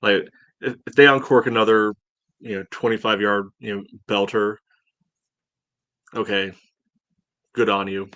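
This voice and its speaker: Measured man talking soccer, dry close sound. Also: fairly low pitch, steady tone, sharp tone, no echo. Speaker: sports podcast co-host. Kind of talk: casual soccer analysis.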